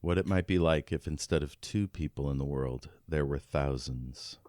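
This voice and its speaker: A man's voice: extremely low pitch, normal speed, darkish tone, no room sound, clean studio sound. A man co-hosting a podcast, casually discussing a book and reading bits of it out. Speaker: podcast co-host.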